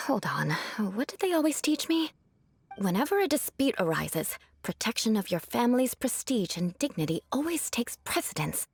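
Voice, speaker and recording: A woman's voice: fairly high pitch, sing-song, moderate pace, breathy edge, no echo, exaggerated voice. A woman voicing a proud young noblewoman knight in a video game, with a formal, haughty manner. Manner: haughty, formal archaic phrasing.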